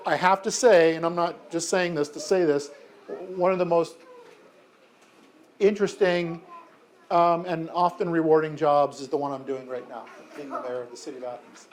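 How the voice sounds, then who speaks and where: A man's voice: on the high side, steady tone, normal speed, slight background noise. An American man in his fifties, a mayor, answering a classroom of children's questions in a friendly, chatty way with frequent ums and self-corrections. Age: 50s. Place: elementary school classroom.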